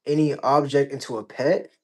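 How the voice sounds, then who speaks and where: A man of average pitch answers questions as a guest in a casual chat. He is from Georgia in the United States. His way of talking is blunt and heavily slangy, with frequent swearing. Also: fairly even intonation, moderate pace. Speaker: interview guest.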